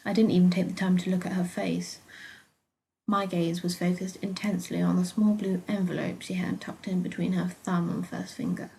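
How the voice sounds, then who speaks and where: A woman's voice: fairly low pitch, little pitch movement, moderate pace, gravelly, warm tone, poor audio. British woman narrating a first-person story. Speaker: author reading own story.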